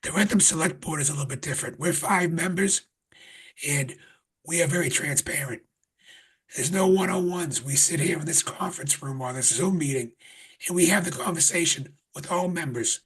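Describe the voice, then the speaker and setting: A man chairing a town board meeting in a conference room, speaking earnestly with restarts, his voice getting raspy. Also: a little high, normal speed, fairly bright tone. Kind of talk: public meeting remarks.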